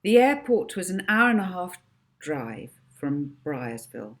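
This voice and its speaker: Female author-narrator, children's audiobook, lowish voice, animated and expressive. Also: unhurried. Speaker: author reading own book.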